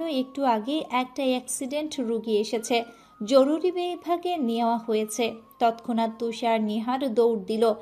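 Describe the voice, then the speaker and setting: A woman's voice: fairly high pitch, warm tone. A woman narrating a romantic family story in an emotional, earnest style.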